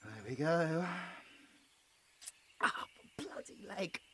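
A man acting a high-voiced, flustered British man. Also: expressive, extremely slow, bright, forceful tone, slight background noise, heavily acted. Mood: weary, resigned. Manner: stammering, flustered, exasperated. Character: nervous, squeamish, pleading young man held by a werewolf. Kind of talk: roleplay audio drama acting.

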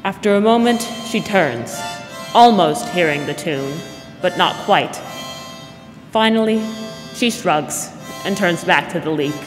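A woman's voice: fairly low pitch, animated, a little slow. A woman reading scripted narration for a radio drama. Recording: echoey stage hall, music behind.

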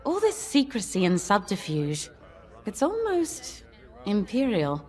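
An actress playing a shrewd, thoughtful starfighter pilot in a video game, articulate and deliberate. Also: female voice, medium pitch, wildly swooping pitch, darkish tone, long reverb tail. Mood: wry, thoughtful. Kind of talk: video game character dialogue.

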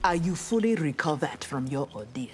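Proud young nigerian ninja woman, kinda low voice, firm and defiant, video game. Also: big pitch swings, moderate pace, over music. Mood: resolute. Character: proud, righteous young female armored ninja warrior. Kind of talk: video game fight dialogue.

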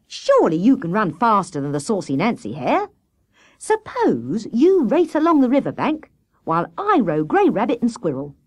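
A woman's voice: medium pitch, huge pitch swings, moderate pace, voice pushed up. A woman voicing a fussy male water rat in a children's story, speaking politely but firmly. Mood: brisk, persuasive.

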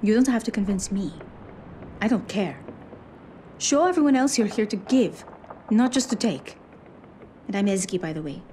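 A woman's voice: medium pitch, lively intonation, normal speed, long pauses, warm tone, a little hiss or hum, close to an everyday voice. An actress playing a guarded, sardonic young village woman in a video game, dry and dismissive. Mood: cold, dismissive. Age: young adult. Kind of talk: video game cutscene acting.

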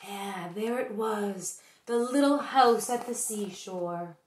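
A middle-aged woman reading a picture book aloud to young children in an expressive teacherly way, pausing to address her listeners. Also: medium pitch, a little hiss or hum. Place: small bedroom, indoors. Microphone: webcam or laptop at arm's length. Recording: roomy home webcam recording.

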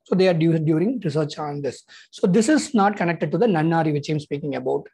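Husky Tamil-accented man, chatty vlogger. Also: fairly high pitch, lively intonation, darkish tone. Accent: Indian English, Tamil. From Tamil Nadu, India. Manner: chatty, halting with frequent "uh" and "you know". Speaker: health insurance consultant. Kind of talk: casual informational vlog.

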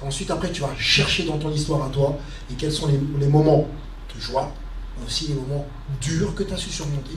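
A man in his forties answering a question at a conference stand on a handheld mic, halting with many fillers and repeats. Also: fairly high pitch, far from the mic, a little hiss or hum. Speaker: guest speaker. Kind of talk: casual panel answer. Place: conference media stand, indoors. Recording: echoey hall, heard from afar.

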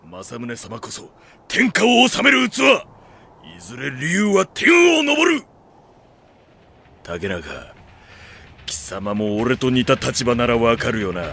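A man playing a fierce, loyal samurai retainer in an audio drama, gruff, stern and defiant. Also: medium pitch, huge pitch swings, slow pace, pauses often, sharp tone, exaggerated voice. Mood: defiant menace. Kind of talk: Japanese anime-style audio drama acting.

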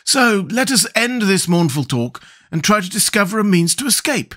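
A man's voice: high voice, expressive, measured pace, fairly bright tone, pitched above the performer's own voice, heavily acted. A man voicing a thoughtful, mild-mannered living scarecrow in a children's storybook. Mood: agreeable, decisive.

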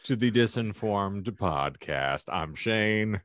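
A man's voice: on the low side, lively intonation, slow, fairly bright tone, dry recording. A man hosting a podcast with wry banter, speaking in a wordy, florid, mock-formal and joking way into a close microphone. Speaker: podcast host. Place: home room lined with shelves, video call. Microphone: close desk microphone.

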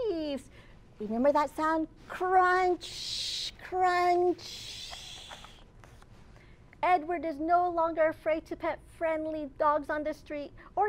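A woman in her thirties, a children's librarian hosting storytime, reading aloud expressively and deliberately with dramatic emphasis for young children. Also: extremely high pitch. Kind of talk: animated read-aloud of a picture book for young children. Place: open library children's area, indoors.

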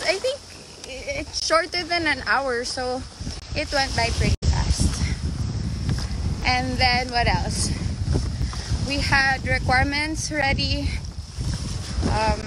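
High-pitched woman vlogging outdoors. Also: takes it slowly, pauses often. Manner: chatty, exclamatory, switches between English and Tagalog. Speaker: vlogger and mother. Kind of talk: casual vlog chat to camera and family. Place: outdoor beach. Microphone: handheld phone at arm's length. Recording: noisy outdoor phone recording.

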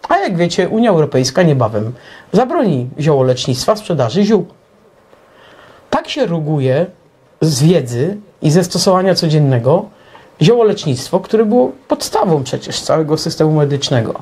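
Husky-voiced, animated man explaining. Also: on the high side, moderate pace. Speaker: talk show co-host. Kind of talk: opinionated explanatory monologue.

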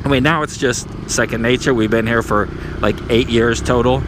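A man's voice: medium pitch, steady tone, normal speed. A middle-aged man giving casual riding tips while he rides a motorcycle outdoors, easygoing and chatty, often tagging his sentences with "right?", recorded on an action camera. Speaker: motovlogger. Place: on a motorcycle in city traffic outdoors. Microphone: helmet or handlebar action camera. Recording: street and engine noise behind.

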